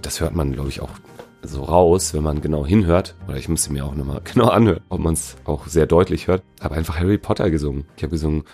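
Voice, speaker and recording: A man's voice: a bass voice, fast talker, over music. A man working as a musician answers interview questions in a casual, slangy, joking and teasing way. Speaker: musician and sound designer being interviewed.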